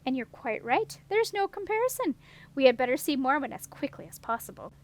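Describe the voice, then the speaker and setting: A woman's voice: high voice, sing-song, fairly quick. Woman reading as a polite, formal young stone prince. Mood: thoughtful. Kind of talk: storybook character reading.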